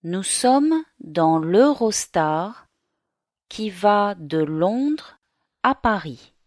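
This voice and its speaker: A woman narrating a slow scripted dialogue for language learners, in a clear, deliberate manner. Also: mid-range voice, lively intonation, a little mellow, dry recording, clean studio sound.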